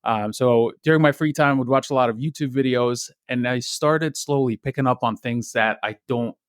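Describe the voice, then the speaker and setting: Soft-spoken young man from Egypt. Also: medium pitch, normal speed, clear, resonant tone, no room sound, studio quality. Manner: soft-spoken, friendly, many ums and uhs. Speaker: podcast guest. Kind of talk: casual interview.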